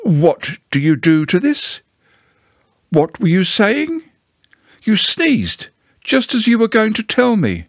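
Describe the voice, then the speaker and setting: A man voicing a small, humble, slow-witted storybook bear who hesitates and repeats his words in a polite, dreamy way. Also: high voice, huge pitch swings, no room sound, clean studio sound, voice pushed up, exaggerated voice. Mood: puzzled, flustered. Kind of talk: storybook character voice.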